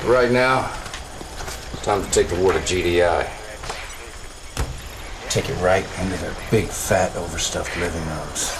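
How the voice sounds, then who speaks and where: An actor playing a brash, bloodthirsty military officer briefing the player in a game, with a blunt, swaggering, colloquial delivery. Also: male voice, deep voice, noisy background. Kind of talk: video game briefing acting.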